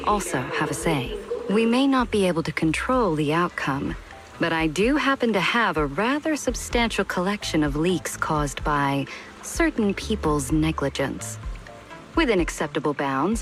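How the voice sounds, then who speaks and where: A low-pitched, measured female official for a game cutscene. She is formal and shrewd, a strategist who weighs each word with quiet resolve. Faint music plays underneath. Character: composed, dutiful female department head, shrewd political strategist. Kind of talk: video game cutscene voice acting.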